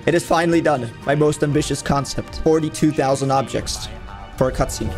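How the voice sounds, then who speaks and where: A young man giving casual, excitable reaction commentary as host of a gaming video, informal and full of exclamations. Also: fairly high pitch, fairly quick. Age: young adult. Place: indoor room at a desk. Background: music from the videos he watches.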